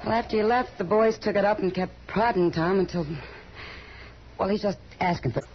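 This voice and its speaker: A woman's voice: medium pitch, brisk, natural voice. A woman playing a frank, worried frontier saloon lady in a 1950s radio western, talking directly and with concern. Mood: worried, exasperated. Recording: dull vintage radio recording with hiss.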